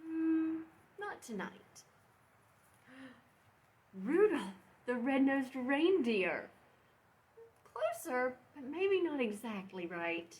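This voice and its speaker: Female children's storyteller, higher register, very unhurried, expressive phrasing with deliberate pauses. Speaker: librarian reading a story aloud.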